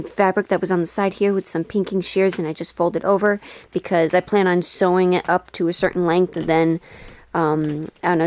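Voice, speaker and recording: A woman's voice: lowish voice, darkish tone. A woman chatting casually through a craft project, self-mocking and prone to casual swearing. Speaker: sewing video creator. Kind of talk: casual craft vlog talk.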